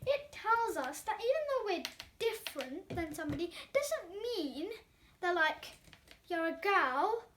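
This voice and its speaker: A young-sounding female reader presenting a children's storybook with expressive narration. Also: very high-pitched, a little slow, noisy background.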